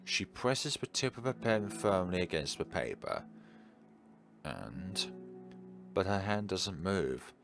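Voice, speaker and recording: Male playthrough host, low and expressive, narrating a visual novel with slightly formal flourishes over music. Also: slow, creaky, long pauses. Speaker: video game playthrough host. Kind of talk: reading a visual novel aloud with commentary. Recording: music behind the voice. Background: game music.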